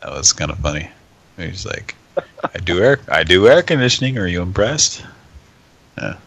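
A man hosting a live show in rambling, halting chat, stuffed up from a cold. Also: low voice, very animated, vocal fry, a bit bright, dry recording, lo-fi sound. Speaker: host.

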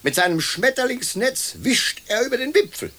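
An actor playing a stern, theatrical lecturer among the dead, admonishing in manner, in a radio play. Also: male voice, very high voice, big pitch swings, normal speed, bright, forceful tone, full character voice. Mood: sardonic. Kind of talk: German radio play acting.

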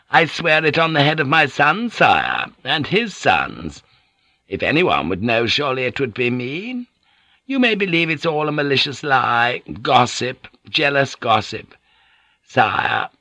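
Male narrator as shrewd Japanese businesswoman, audiobook: raised pitch, bright, deferential, insistent. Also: no room sound, exaggerated voice. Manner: flattering, insistent, humbly courteous.

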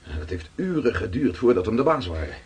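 Man as a low, husky seafarer telling tales, radio drama. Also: big pitch swings, a little mellow, full character voice. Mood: recounting. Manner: slow, vivid recounting. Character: weathered Dutch sailor telling voyage tales. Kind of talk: radio play acting.

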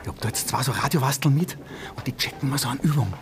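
An actor playing a bossy robber ringleader with a Viennese accent, commanding and urgent in a radio drama. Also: male voice, mid-range voice, quick pace, slight background noise, lightly acted. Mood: tense instructing. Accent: Viennese Austrian. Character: bossy male robber ringleader giving orders under pressure. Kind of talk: radio drama acting, colloquial dialect.

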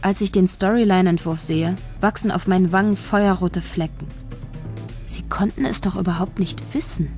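An actress playing a shy young writer who narrates her own story in a radio drama, measured, wry and observant. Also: on the low side, darkish tone, soft background music, natural voice. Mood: brooding. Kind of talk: intimate first-person radio drama narration.

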